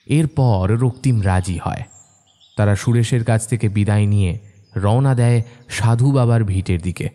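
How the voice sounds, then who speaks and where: A man narrating a scripted horror story in a measured, suspenseful, dramatic manner. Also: fairly low pitch. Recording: soft music bed behind narration.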